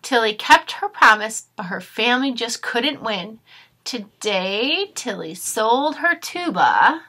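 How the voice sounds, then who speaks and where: Bright-voiced woman teaching children. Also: a little high, takes it slowly. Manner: expressive, instructive, addressing children directly. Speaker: teacher reading aloud to children. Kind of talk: storybook read-aloud with grammar explanations. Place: small furnished room at home.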